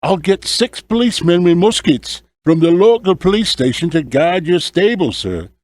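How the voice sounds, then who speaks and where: A man's voice: sits high, lively intonation, normal speed, a little mellow, no room sound, voice pushed up. A man voicing an eager, obliging hotel landlord in audiobook dialogue, deferential and keen to please.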